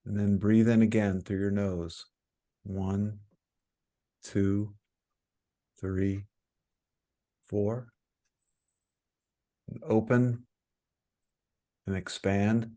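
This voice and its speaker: A man with a low, mellow voice leads a guided meditation. He speaks very slowly and calmly, leaving frequent pauses between short phrases. The delivery is soothing and instructional, like a teacher settling listeners into stillness.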